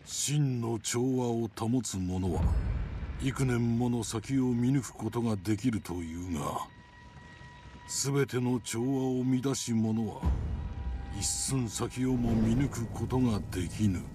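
Samurai game mentor, male actor: older man, grave, commanding, slow delivery, medium pitch. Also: dark tone, faint music behind, natural voice. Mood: solemn, calm. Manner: solemn, measured, commanding. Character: stern, calm older male samurai sword master. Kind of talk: samurai game drama acting.

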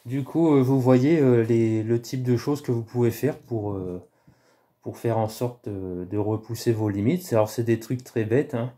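Man, 30s, casual home phone vlog; very dark, warm tone, halting and rambling delivery. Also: medium pitch. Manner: rambling, halting, many restarts and fillers. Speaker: YouTuber doing a prank call. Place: small room indoors at home. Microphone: phone camera held low at arm's length.

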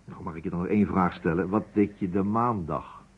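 An actor playing a shrewd, calm investigator who questions deliberately and insistently in an old radio drama. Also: male voice, sits low, slightly rough. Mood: insistent, controlled. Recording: dull vintage radio recording.

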